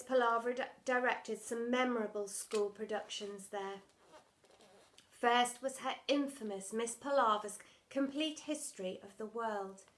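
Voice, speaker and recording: A woman's voice: fairly high pitch, fairly bright tone. A woman narrating a children's storybook, reading scripted text as a primary school storyteller.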